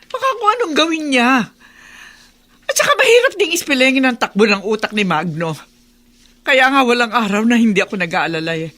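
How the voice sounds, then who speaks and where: Radio drama: anxious grandmother, lively and bright, pleading. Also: a little high, fairly quick. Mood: fearful, pleading. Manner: worried, pleading. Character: anxious, fearful mother and grandmother. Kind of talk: Filipino radio drama acting.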